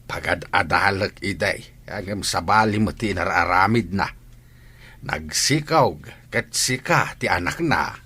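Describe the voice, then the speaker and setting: Husky, stern old grandfather. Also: low-pitched, animated, unhurried, bright, forceful tone, heavily acted. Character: stern elderly grandfather, earnest about his granddaughter's schooling. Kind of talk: radio drama acting.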